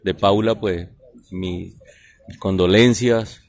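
A Colombian man, a police colonel, answering reporters in a formal, halting way outdoors into handheld microphones. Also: low-pitched, steady tone, darkish tone. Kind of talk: press interview answers. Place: outdoors at night outside a building.

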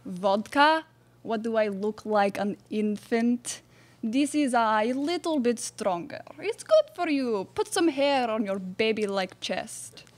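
A young woman playing a blunt, tough Russian bachelorette with a Russian accent in a staged radio play, deadpan and imperious. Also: fairly high pitch, slow pace. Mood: boastful, commanding. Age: young adult. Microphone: stage microphone on stand.

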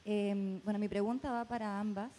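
A woman's voice: mid-range voice, steady tone, moderate pace, mellow. A woman from Chile with a Chilean accent puts a spontaneous question to a panel from the audience. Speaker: audience member asking a question, researcher. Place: audience in indoor hall. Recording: faint room noise.

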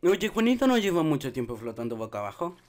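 A man's voice: a little high, sing-song, quick pace, soft background music. A man dubbing goofy, blunt young party guys in a comedy sketch, with exaggerated exclamations. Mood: carefree. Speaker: fan dub voice actor. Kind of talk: Spanish comedy sketch fan dub.

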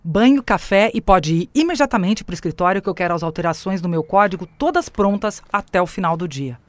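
An actress playing a bossy, menacing artificial intelligence in an audio drama, mock-sweet, sarcastic and commanding. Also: female voice, on the low side, lively intonation, fairly quick, no room sound, close to an everyday voice.

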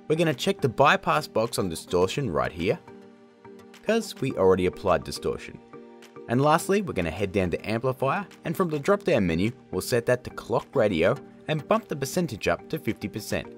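Lively chatty man hosting a tutorial. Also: fairly low pitch. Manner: energetic, chatty and jokey. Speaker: video tutorial host. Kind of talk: upbeat software tutorial. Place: home studio room.

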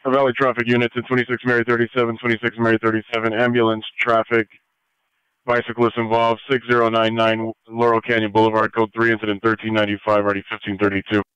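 A man's voice: medium pitch, monotone, quick pace, a bit bright, clear, resonant tone, no echo. A man broadcasting a clipped dispatch call over police radio. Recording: dull police scanner audio.

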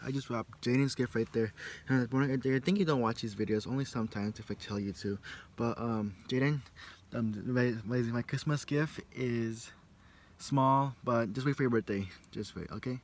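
A man's voice: medium pitch, moderate pace, a little hiss or hum. Young man vlogging casually. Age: young adult. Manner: rambling, informal. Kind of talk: casual daily vlog chat. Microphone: phone or camera at arm's length.

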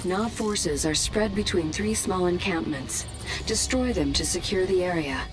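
An actress voicing an impersonal battle computer that gives neutral, clipped announcements in a strategy game. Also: female voice, lowish voice, lively intonation, measured pace, low-quality recording. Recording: game music and vehicle sounds behind.

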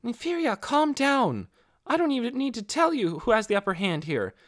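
A man voicing a young fantasy adventurer in an audiobook, calmly reasoning and urging restraint. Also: extremely high pitch, moderate pace, voice pushed up, heavily acted. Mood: calming, urgent.

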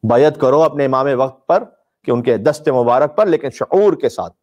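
A man's voice: mid-range voice, expressive, moderate pace, a little mellow. Emphatic male preacher in impassioned sermon. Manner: emphatic, declamatory, mournful storytelling delivery. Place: indoor room with draped religious banners, live stream.

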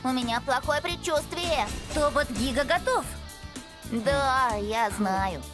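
A woman's voice: high voice, expressive, takes it slowly, music in the background. A woman playing a plucky, eager young boy hero in a cartoon dub, earnest and spirited. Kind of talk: animated cartoon dub acting.

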